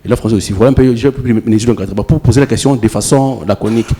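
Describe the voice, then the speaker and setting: Audience questioner, rapid wordy delivery, dark tone, hall echo. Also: male voice, medium pitch, slightly rough. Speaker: audience member asking a question. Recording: echoey hall.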